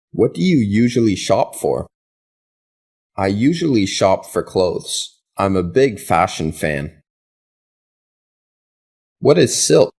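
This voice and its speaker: Slow, low-voiced man reading English drills. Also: a little mellow, husky. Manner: slow, deliberate question-and-answer reading. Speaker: English lesson reader. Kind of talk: reading practice questions and answers.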